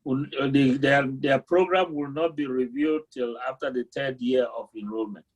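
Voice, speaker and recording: A man's voice: medium pitch, normal speed, low-quality recording. A man from Cameroon, an insurance representative, earnestly explaining a group policy at a meeting.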